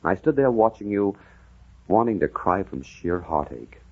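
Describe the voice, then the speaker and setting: A brooding gentleman veteran haunted by lost memory, in a very low, husky voice. He speaks earnestly, with halting dramatic pauses, on a muffled vintage radio drama recording. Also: sing-song, full character voice. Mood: brooding, pained. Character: haunted amnesiac gentleman and war veteran recalling his lost past. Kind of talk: old-time radio drama narration.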